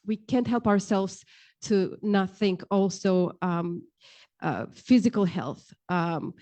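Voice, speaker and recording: A woman's voice: medium pitch, takes it slowly, dark tone, muffled recording. A woman, speaking earnestly on a panel with frequent ums into a handheld mic. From Romania. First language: Romanian. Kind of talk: panel discussion talk. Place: large indoor event hall, seated at panel table.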